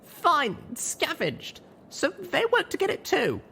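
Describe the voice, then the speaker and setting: A man's voice: very high-pitched, huge pitch swings, slow, gravelly, pushed and bright, a little hiss or hum, voice pushed up, exaggerated voice. A man voicing a small, stubborn, righteous young mare who leads an adventure in an audio drama. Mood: indignant.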